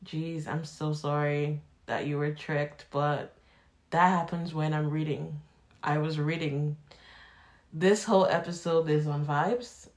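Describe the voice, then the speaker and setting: A woman's voice: sits low, steady tone, slow pace, darkish tone. A woman in her late twenties with a Nigerian accent that drifts toward Canadian, chatting candidly and rambling on her own podcast. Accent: Nigerian mixed with Canadian. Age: 20s. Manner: rambling and candid, with laughs and swearing. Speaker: podcast host. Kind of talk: casual solo podcast chat.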